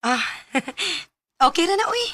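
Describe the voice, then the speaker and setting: High, bright, feisty Cebuano student girl. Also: big pitch swings, takes it slowly, full character voice. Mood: wistful. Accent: Cebuano Filipino. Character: feisty, proud, teasing young female student friend. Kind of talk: Filipino radio drama acting.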